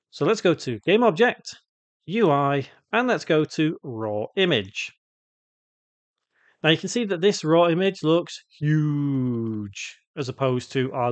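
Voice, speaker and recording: A man presenting an unscripted step-by-step software tutorial in a casual, instructional way. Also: a little high, dry recording.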